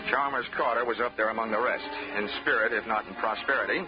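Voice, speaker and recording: An actor playing a wisecracking insurance investigator in a 1940s radio drama, narrating and talking with a dry, wry manner. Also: male voice, on the low side, steady tone, fast talker, natural voice. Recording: muffled old radio broadcast with music.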